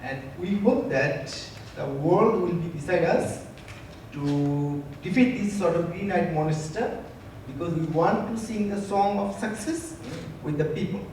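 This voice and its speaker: A man's voice: high-pitched, a little slow, darkish tone. A man in his thirties with a Bangladeshi accent, giving formal remarks as an embassy guest on a handheld microphone. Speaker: embassy representative speaking as guest. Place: large concert hall stage, seated. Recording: echoey hall, distant pickup, faint noise.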